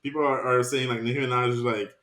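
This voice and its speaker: A man's voice: mid-range voice, level tone, fast, a bit bright. A man co-hosting a podcast, hesitant and searching for words in casual discussion. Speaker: podcast co-host. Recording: roomy echo.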